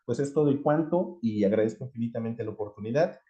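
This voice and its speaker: Earnest school director, mellow voice. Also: male voice, mid-range voice, lively intonation, highs cut off. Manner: slow, earnest, halting. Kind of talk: presentation at online event.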